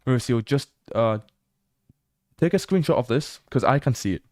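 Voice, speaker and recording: A young British man livestreaming as he explores a game. He chats casually, swears freely and bursts into excited exclamations. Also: medium pitch, moderate pace, no room sound, clean studio sound.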